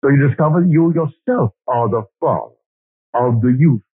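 An older man from Barbados lectures earnestly, weaving stories with quoted scripture and verse. His voice is husky and dry, heard through a narrow, lo-fi old recording. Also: medium pitch, animated, moderate pace, no echo. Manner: earnest storytelling, quoting scripture and poetry. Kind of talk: spiritual lecture. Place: lecture hall. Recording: old lo-fi tape recording, phone-like band.

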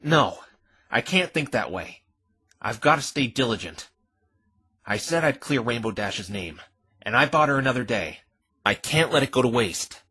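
A man playing an earnest, flustered young defense lawyer in an animated fan dub, hesitant and exasperated. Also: mid-range voice, normal speed, pauses often, breathy edge. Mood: anxious, resolute. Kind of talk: fan dub visual novel acting.

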